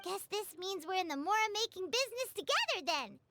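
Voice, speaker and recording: Tiny fairy sidekick girl for a game: extremely high, squeaky, bubbly, very lively, over music. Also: fairly quick, full character voice. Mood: chirpy, eager. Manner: speaks of herself in third person, bubbly and squeaky. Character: small cheerful childlike fairy companion girl. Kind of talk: video game cutscene dialogue.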